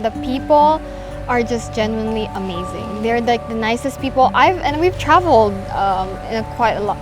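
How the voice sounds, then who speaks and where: A young woman chatting casually to camera as a vlogger, full of fillers and quick restarts. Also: on the high side. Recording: music bed underneath.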